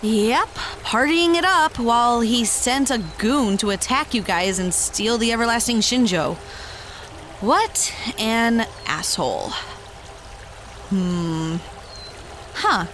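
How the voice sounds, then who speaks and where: A woman's voice: fairly high pitch, lively intonation, takes it slowly, fairly bright tone, slight background noise. A woman playing a brash, wry young adventurer in an audio drama, with a slangy, offhand delivery.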